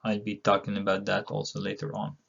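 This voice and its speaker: Middle-aged male professor from Palestine, relaxed lecture delivery with lots of fillers, some vocal fry, slightly muffled audio. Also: on the low side, a little fast. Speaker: university instructor. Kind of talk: recorded online course introduction.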